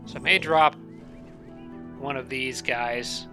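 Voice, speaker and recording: A man casually commentating a strategy game, thinking aloud and correcting himself, his voice a little raspy and nasal from allergies. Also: medium pitch, steady tone, slow pace, music in the background. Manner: thinking aloud, rambling with restarts.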